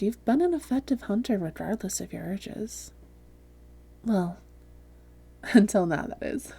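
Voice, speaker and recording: A haughty, seductive vampire woman, acted by a woman, speaks in a very dark, soft voice full of breath and fry. She often sinks into a whisper, slowly coaxing and teasing with smug superiority, in the style of an intimate hypnosis roleplay. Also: medium pitch, heavily acted. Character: haughty, seductive female vampire hypnotist toying with a captured hunter.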